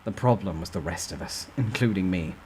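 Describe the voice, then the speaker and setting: A man's voice: sits low, a little fast, warm tone, breathy edge. A man reading a fantasy novel aloud as a dramatic, suspenseful first-person narrator. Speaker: amateur story narrator.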